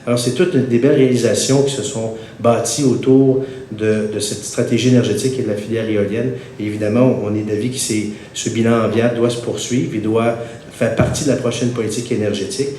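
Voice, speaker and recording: A man's voice: fairly even intonation, warm tone. A man with a Québécois French accent, slightly congested from a cold, giving a formal press statement with frequent hesitations. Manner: measured, many "euh" hesitations. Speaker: wind energy centre spokesman at a press conference. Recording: echoey room with faint rumble.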